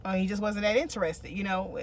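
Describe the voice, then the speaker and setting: A woman's voice: medium pitch, moderate pace, slight background noise. An American woman co-hosting a relationship vlog, giving casual advice and repeating phrases for emphasis.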